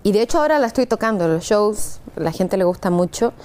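A woman's voice: mid-range voice, expressive, fast talker, a little mellow. Chilean woman with Mexican-tinged speech. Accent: Chilean softened by years in Mexico. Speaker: singer, interview guest. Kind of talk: casual conversational interview.

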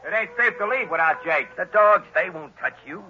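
An actor playing a short-tempered gangster boss in a 1930s radio drama, barking orders and insults in a rough voice. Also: male voice, high voice, expressive, moderate pace, slight background noise. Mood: gruff, impatient. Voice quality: rough, husky, strained. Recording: dull vintage 1930s radio recording.

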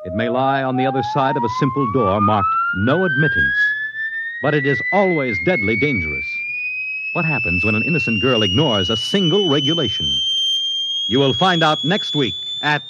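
A man's voice: medium pitch, huge pitch swings, moderate pace, heavy background noise. An American man working as a radio announcer, formal and theatrical in a scripted 1950s style. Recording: dull vintage radio broadcast with music.

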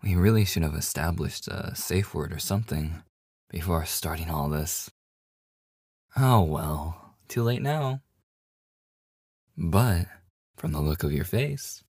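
A man's voice: low voice, darkish tone, slightly rough, no room sound, clean studio sound, full character voice. A man playing a devoted, slightly obsessive boyfriend in an intimate ASMR audio roleplay, with a hesitant, stammering manner. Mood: teasing. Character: devoted, nervously joking boyfriend hiding obsessive yandere streak. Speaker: voice actor.

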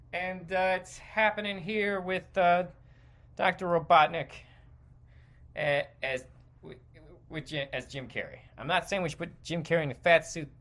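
A man hosting a podcast, giving a casual, rambling opinion rant with halting, stop-and-start phrasing and informal swearing. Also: a little high, sharp tone. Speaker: podcast host.

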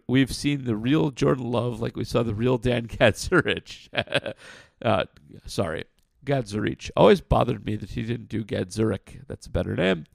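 A man hosting a casual podcast, answering listener questions in a blunt, frank way with lots of fillers; his voice sounds rough like sandpaper. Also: medium pitch, a little mellow, no echo, clean studio sound. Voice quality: gravelly, like sandpaper. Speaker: podcast host.

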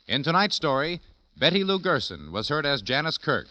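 A man's voice: a little high, big pitch swings, normal speed, pushed and bright, dull audio. An American man working as a radio announcer, reading scripted credits and promos in a polished mid-century broadcast style.

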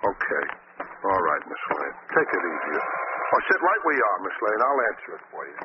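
An actor playing a simple, good-hearted cab driver, slangy and fumbling, in 1940s radio style. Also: male voice, low voice, wildly swooping pitch, brisk. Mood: sheepish, eager. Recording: muffled, noisy old radio recording.

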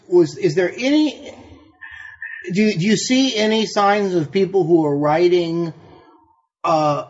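Hesitant male interviewer, lo-fi sound. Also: a little high. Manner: hesitant, searching for words. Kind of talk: interview questions. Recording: dull lo-fi recording.

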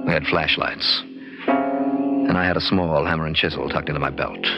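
An actor playing a brisk, sceptical American archaeologist in an old-time radio drama, speaking in a clipped, dramatic way. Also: male voice, very deep voice, fairly even intonation. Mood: suspenseful narrating. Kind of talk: old-time radio drama acting and first-person narration. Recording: dull old radio recording, music behind.

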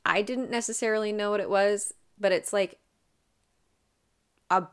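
A woman's voice: medium pitch, fairly even intonation, normal speed, clean tone. A woman co-hosting a podcast, telling a true crime case in a chatty way and laughing often. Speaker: podcast co-host. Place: Ohio.

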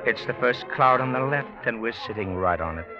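A man's voice: medium pitch, lively intonation, brisk, natural voice. An actor playing a young American businessman and scheming suitor in an old-time radio drama, persuasive and self-assured. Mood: ardent, urging. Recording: muffled vintage radio with music.

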